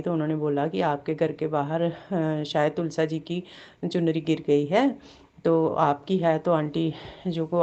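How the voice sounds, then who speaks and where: A woman with a sore throat sharing a devotional testimony, halting with many fillers and repeated blessings. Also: low voice, warm tone, lo-fi sound. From Jammu.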